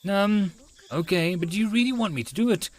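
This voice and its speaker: A gentle, girlish young man who helps at a shrine, acted by a male narrator. He speaks high and hesitantly, with polite, flustered swings of pitch, in anime style. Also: animated, fairly quick. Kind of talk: anime visual novel character reading.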